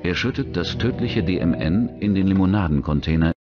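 A man's voice: sits low, lively intonation, fairly quick, lo-fi sound, music in the background. A man reading scripted true-crime documentary narration as the narrator.

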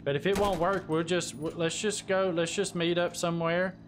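A man's voice: fairly high pitch, fairly even intonation, normal speed, background noise. A man chatting casually with friends as he hosts a gaming live stream.

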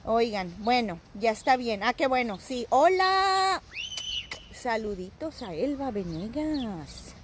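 Mexican lady live streaming by the sea, kinda high voice, wind noise on the phone mic. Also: very animated, slow pace. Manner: playful, jokey, talking to viewers. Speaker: vlogger. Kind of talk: live-streamed casual chat. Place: windy seaside outdoors. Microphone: phone at arm's length.

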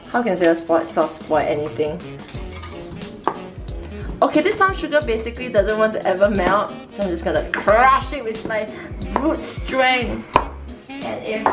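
A woman's voice: a little high, distant mic. A young woman chatting casually and playfully while cooking at home, laughing at her own mistakes. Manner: giggly, self-mocking, playful. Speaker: home cook vlogger. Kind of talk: casual cooking vlog chat. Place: small home kitchen, indoors. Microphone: camera across the room. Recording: roomy kitchen sound from off-mic with music.

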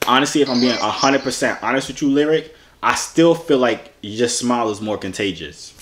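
Animated young man, playfully teasing. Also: medium pitch, a little fast. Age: 20s. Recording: clearly noisy room recording with clicks.

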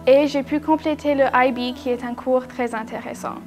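A young woman student speaks in a high voice, reciting a short prepared line about her school. Music plays faintly behind. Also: steady tone, moderate pace, darkish tone. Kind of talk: scripted school promo testimonial.

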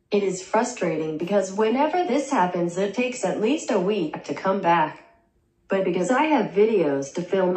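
A synthetic woman's voice reading a young vlogger's lines in a deadpan way, heard in a small bedroom through the camera mic. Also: on the low side, reverberant, poor audio. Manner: deadpan, dry jokes. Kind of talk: text-to-speech vlog narration.

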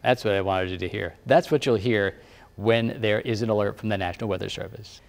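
A man working as a TV meteorologist, calmly explaining a device one step at a time. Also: lowish voice, brisk, vocal fry, in a large hall. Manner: plain, patient instructive talk. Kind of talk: step-by-step demonstration. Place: TV studio weather set.

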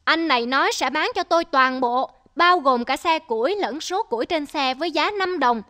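A woman voicing a greedy, scheming rich old man in an animated folk tale, smug and wheedling. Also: high-pitched, normal speed.

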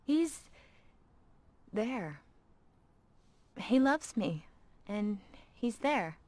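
A guarded young game heroine speaks slowly in a high voice. She is gentle and wistful, hesitating, with expressive rises and falls. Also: no echo, exaggerated voice. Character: wistful young woman, hero's old flame, guarded. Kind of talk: video game voice acting.

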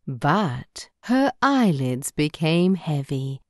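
A woman narrating a children's bedtime story in a gentle, measured, scripted way. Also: deep voice, very animated, no room sound, clean studio sound.

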